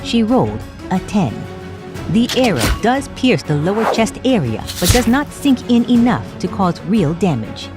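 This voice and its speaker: A woman tells a fantasy tabletop adventure as its game master, dramatic and scripted. Her pitch is lively and her pace slow and deliberate, with clear music playing behind her.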